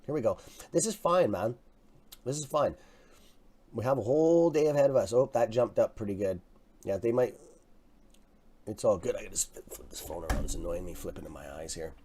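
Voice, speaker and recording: A man with a raspy voice hosting a live stock market stream, talking casually and excitably to his viewers. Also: lowish voice, fairly quick, pauses often. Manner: excitable, swears, talks to chat as "guys". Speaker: livestream stock trading host.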